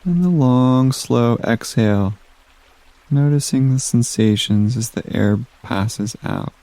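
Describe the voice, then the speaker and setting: A man leading a guided meditation in a gentle, soothing manner, giving soft instructions with long pauses. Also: mid-range voice, dry recording.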